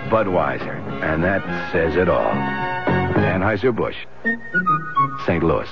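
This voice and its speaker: Man, commercial announcer, deep pitch, old radio ad, dull recording. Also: very animated, slow pace, creaky, over loud music. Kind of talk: 1970s radio advertisement read.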